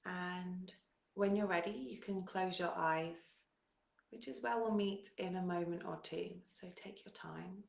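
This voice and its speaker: Slow, low-pitched woman guiding meditation. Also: creaky, echoey room. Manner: calm, soothing, gentle instruction with long pauses. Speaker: meditation guide. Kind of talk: guided meditation.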